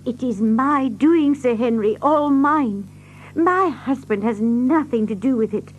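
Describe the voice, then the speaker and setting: An actress playing a distraught, protective servant's wife in an audio drama, earnest and pleading. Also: female voice, fairly high pitch, measured pace, slight background noise, lightly acted. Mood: pleading, anguished. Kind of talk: radio-play dramatic acting.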